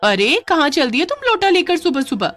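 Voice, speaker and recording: An exasperated village wife , played by a woman, nags and exclaims in a comic story. Her pitch jumps about expressively, and soft music plays behind her. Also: sing-song, normal speed, exaggerated voice. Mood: annoyed scolding. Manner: scolding, exclamatory, sarcastic. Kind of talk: Hindi comic story acting.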